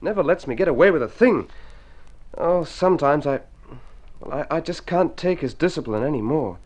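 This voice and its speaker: An actor in golden age radio drama playing a sincere, ambitious young male painter with an earnest, gentle manner. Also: on the high side, animated, normal speed. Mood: enthusiastic.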